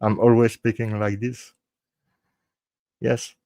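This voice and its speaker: A man from Belgium with a French-speaking Belgian accent, whose first language is French, hosting a live true crime show. He speaks in a halting, earnest way, restarts his phrases often and slips into French. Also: mid-range voice, level tone, warm tone, no echo, studio quality. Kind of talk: casual live-stream sign-off.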